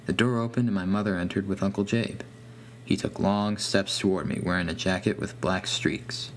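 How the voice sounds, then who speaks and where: A man's voice: sits low, fairly even intonation, normal speed, a little hiss or hum. A man reading a scripted first-person horror story as its narrator.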